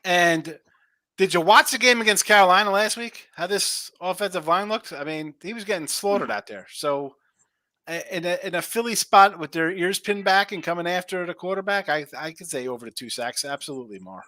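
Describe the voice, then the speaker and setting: A man co-hosting a sports betting show, giving emphatic, animated opinions in casual chat. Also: high voice, fairly quick, dry recording.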